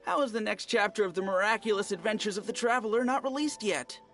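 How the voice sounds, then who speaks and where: A man's voice: sits high, fast talker, fairly bright tone. A man playing an impatient, fretful book fan in a video game. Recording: music behind.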